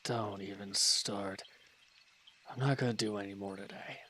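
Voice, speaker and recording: A man playing a wounded, irritable villain in an audio roleplay, gruff and curt but grudgingly caring, with an exasperated, grumbling delivery. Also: lowish voice, fairly even intonation, measured pace, sharp tone, slight background noise. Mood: pained, grumbling. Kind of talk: scripted audio roleplay acting.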